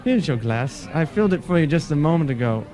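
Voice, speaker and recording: A man plays a polite, upper-crust count at a party in a vintage-style radio play. His delivery is affected and lively in pitch, with clear music underneath. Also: quick pace, natural voice. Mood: courtly, surprised. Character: genteel, courtly male count, solicitous party guest. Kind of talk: old-time radio play acting.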